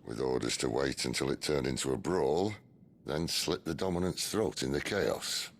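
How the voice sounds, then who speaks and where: Cid, a gravelly outlaw. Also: male voice, rumbling low, heavily acted. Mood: wry. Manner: dry, wry and unhurried. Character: worldly gruff male outlaw leader, middle-aged. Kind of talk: video game cutscene acting. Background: orchestral game score.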